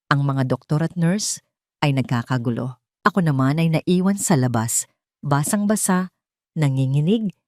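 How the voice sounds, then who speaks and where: A woman's voice: low voice, darkish tone, dry recording, studio quality. A woman with a Filipino accent narrating a dramatic first-person story in an emotive storytelling manner.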